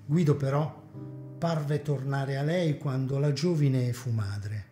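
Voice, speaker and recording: Male narrator for a radio serial, mellow tone, echoey hall. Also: medium pitch, slow, faint music behind. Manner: expressive, theatrical reading. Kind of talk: dramatic serial novel narration.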